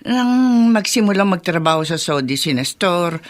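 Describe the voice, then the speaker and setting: A woman's voice: on the low side, lively intonation, normal speed, lightly acted. An actress playing a caring, probing older mother and grandmother in a radio drama, with a Filipino accent. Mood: concerned, probing.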